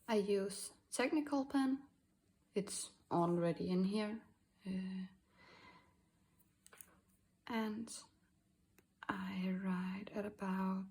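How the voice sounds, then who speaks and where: Soft-spoken Danish woman, slow and gravelly. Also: medium pitch. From Denmark. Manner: halting, many fillers, soft asides. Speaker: art tutorial host. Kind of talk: screen-recorded drawing tutorial.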